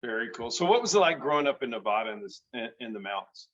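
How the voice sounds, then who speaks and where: A man's voice: mid-range voice, sing-song, fast talker, fairly bright tone. A man hosting a casual interview, friendly and enthusiastic, often repeating and restarting his words. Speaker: host. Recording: dull lo-fi sound.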